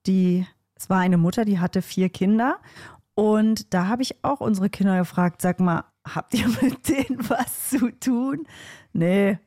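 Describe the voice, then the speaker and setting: A woman's voice: medium pitch, measured pace, mellow, no room sound, clean studio sound. A woman co-hosting a podcast, chatting in a direct, conversational way. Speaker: podcast co-host. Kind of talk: casual chat.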